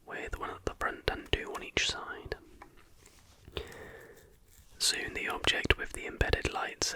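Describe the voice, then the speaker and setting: An ASMR host reading a scripted account in a whisper, with unhurried, deliberate phrasing. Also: sharp tone.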